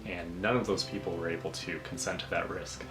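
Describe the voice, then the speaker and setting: Deep-voiced man in his twenties. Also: steady tone, brisk. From Canada. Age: 20s. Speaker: library technician, trial volunteer. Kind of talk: recorded personal statement. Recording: roomy with music bed.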